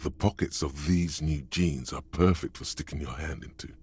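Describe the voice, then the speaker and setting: An actor voicing a game's narrator, an inner voice that addresses the player with wry, goading remarks in a deep, husky tone. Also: male voice, moderate pace, darkish tone. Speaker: game narrator voice. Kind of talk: scripted game narration.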